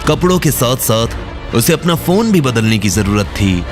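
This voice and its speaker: Mid-pitched male audio story narrator. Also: sing-song, normal speed, music in the background.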